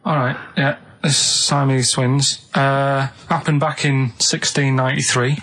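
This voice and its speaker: Male radio regular, Manchester accent, mid-pitched, deadpan, hesitant, rambling storyteller. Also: unhurried, rough recording. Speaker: radio show regular presenting a feature. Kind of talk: casual radio chat.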